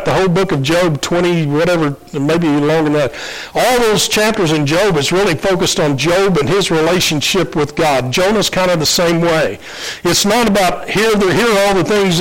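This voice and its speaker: A man preaching a conversational Bible lesson, congested from allergies and clearing his throat now and then. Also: a little high, fairly bright tone, rough recording. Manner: conversational, with fillers and restarts. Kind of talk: Bible teaching sermon.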